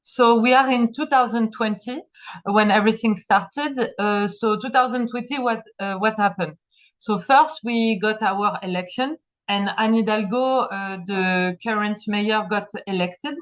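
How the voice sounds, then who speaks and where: A woman's voice: medium pitch, measured pace. Hesitant woman presenting. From France. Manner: hesitant, many fillers. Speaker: city official presenting. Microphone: video call.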